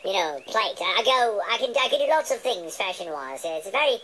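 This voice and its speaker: A man's voice: sits high, big pitch swings, a little fast, pushed and bright, slight background noise, voice pushed up, full character voice, rough recording. A man voicing a small, furry, vain British chipmunk who rambles hesitantly on a comic radio show. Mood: smug, confiding. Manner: rambling, hesitant, self-important. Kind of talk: comic radio character banter.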